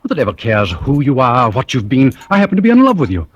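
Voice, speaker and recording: Flustered, husky British gentleman. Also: medium pitch, huge pitch swings, muffled recording. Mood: agitated. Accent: upper-class British. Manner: halting, blustering, earnest. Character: earnest, flustered, hot-headed upper-class gentleman in love. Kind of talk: old-time radio thriller acting. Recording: vintage radio drama recording.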